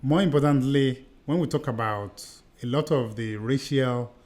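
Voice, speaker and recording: A man's voice: medium pitch, moderate pace, a little mellow. A middle-aged man with a Nigerian accent who grew up in Nigeria. He co-hosts a podcast and tells stories earnestly, often repeating and restarting his phrases. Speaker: podcast co-host. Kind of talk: conversational podcast discussion.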